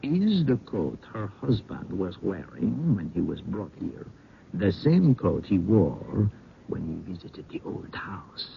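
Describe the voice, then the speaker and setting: An actor playing an earnest, inquisitive doctor in a radio mystery, speaking formally and deliberately. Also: male voice, low voice, big pitch swings, gravelly, heavily acted. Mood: thoughtful. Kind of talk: 1970s radio drama acting. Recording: dull, noisy old radio broadcast.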